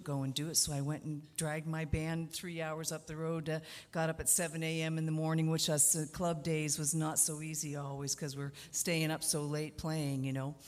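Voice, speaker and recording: Low-voiced Canadian woman, halting. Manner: hesitant, many fillers and restarts. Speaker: guest musician. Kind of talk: radio interview.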